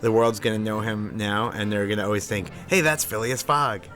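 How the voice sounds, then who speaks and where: A man's voice: on the low side, steady tone, brisk, music in the background. A man, a film director, talking casually and enthusiastically about casting his actors. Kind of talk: interview reflection.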